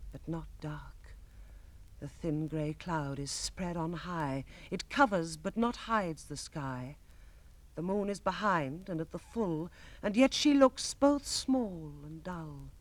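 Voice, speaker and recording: A woman giving a theatrical recitation of gothic narrative verse, telling it as a story that moves between hushed and ringing delivery. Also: on the low side, dry recording. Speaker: poetry reader. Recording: close, dry 1960s spoken-word record.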